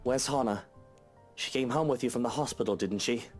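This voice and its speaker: A man's voice: medium pitch, fairly quick, close to an everyday voice. A man voicing a stubborn, paranoid, defiant young master of a mansion in a game story. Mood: worried. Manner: defiant, demanding. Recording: music under the voice.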